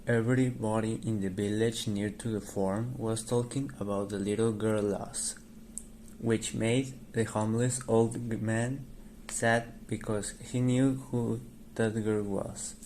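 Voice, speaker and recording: A man's voice: fairly low pitch, steady tone, measured pace, darkish tone, slight background noise, poor audio. A man giving scripted narration for a radio drama as its narrator.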